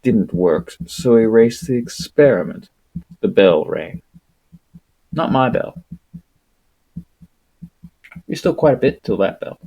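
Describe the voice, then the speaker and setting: A man streaming solo, reading aloud and chatting casually in an unhurried, rambling, wry manner. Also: on the low side, animated, mellow, low-quality recording. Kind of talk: audiobook-style reading and casual livestream chat.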